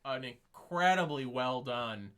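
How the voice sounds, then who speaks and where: A man co-hosting a casual livestream film discussion, gushing and animated, peppering his talk with "like" and acting out reactions. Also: medium pitch, slow pace, smooth. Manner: gushing, animated, uses "like" a lot and acts out reactions. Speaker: co-host.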